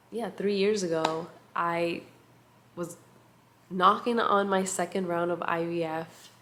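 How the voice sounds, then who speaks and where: A woman in her thirties with a stuffy, nasal voice, talking at a slow, unhurried pace with long pauses and filler words. Her voice sits a little low for a woman. It comes through a compact camera mic with a faint background hum. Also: gravelly. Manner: soft, slow and reflective, with many pauses and fillers. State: congested, nasal from allergies. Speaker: vlogger. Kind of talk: casual catch-up vlog.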